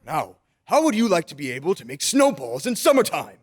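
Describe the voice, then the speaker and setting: A man's voice: fairly high pitch, sing-song, brisk. A man playing a jolly, kindly old Santa Claus in old-time radio drama style, with playful, grandfatherly, coaxing phrasing. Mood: playful, explaining.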